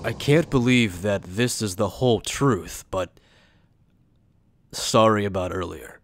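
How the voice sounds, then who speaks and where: A man's voice: on the low side, slow pace, noisy background. A man acting in an anime fan dub as an earnest, principled young officer who is also a journalist, speaking in a sincere, insistent way. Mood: troubled. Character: earnest male Soul Reaper lieutenant and principled journalist.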